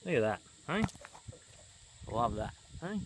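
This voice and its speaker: A man's voice: medium pitch, very animated, unhurried, noisy background. A young Australian man chatting casually with mates on a fishing boat, blokey and sweary. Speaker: angler in a fishing vlog. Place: outdoors on a small boat on a river. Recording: music over outdoor boat audio.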